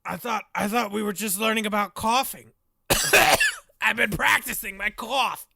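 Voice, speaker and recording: A man co-hosting a comedy podcast as a teaching assistant, with a hoarse voice and deadpan delivery. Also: high voice, measured pace, background noise.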